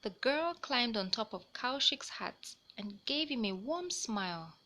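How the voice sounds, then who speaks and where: Bright, lively woman reading stories for children. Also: a little high, a little slow. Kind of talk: scripted children's storybook read-aloud.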